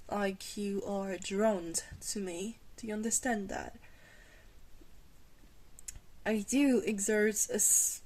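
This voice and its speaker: An ancient female vampire, acted by a woman, speaks slowly and softly in an intimate ASMR roleplay. She is gentle and alluring, guiding with a reassuring, faintly hesitant manner. Her pitch sits in an ordinary middle range for a woman. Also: poor audio. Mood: calmly commanding. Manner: slow, hesitant, reassuring, with breathy pauses and small chuckles. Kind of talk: soft-spoken ASMR roleplay.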